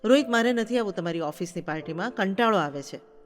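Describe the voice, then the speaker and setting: A woman's voice: mid-range voice, expressive, fast, lightly acted. A woman voicing a frustrated, defiant young wife in an audio story, curt and pointed. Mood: bored refusal. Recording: long reverb, faint music behind.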